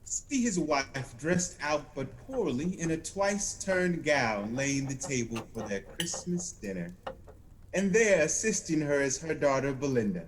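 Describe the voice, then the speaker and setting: A solemn spirit of Christmas in an audio drama, stern and declamatory. The delivery is slow, with big swings of pitch for weight. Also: male voice, sing-song, rough recording. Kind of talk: audio play acting, old radio drama style.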